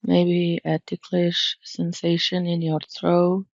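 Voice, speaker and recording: A woman leads a guided meditation at a very unhurried pace. Her voice has a noticeable creak and a gentle, soothing delivery. The recording is dry and close, with a slightly muffled tone. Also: lowish voice, completely flat delivery, no echo. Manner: soothing, gentle, measured instruction.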